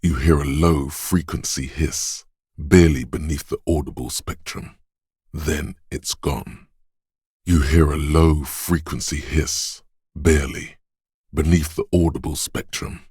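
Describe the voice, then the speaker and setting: An inner voice of perception for a video game, extremely deep and heavily husky. The delivery is slow and hushed, like careful atmospheric narration. It is watchful and poetic, savoring every small sound, in a dry, close recording. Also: male voice, fairly even intonation, no echo, heavily acted. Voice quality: husky, gravelly, breathy.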